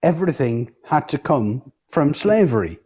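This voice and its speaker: A man's voice: a little high, big pitch swings, normal speed, mellow, breathy edge. A man in a heated casual debate, speaking insistently, cutting in and swearing freely.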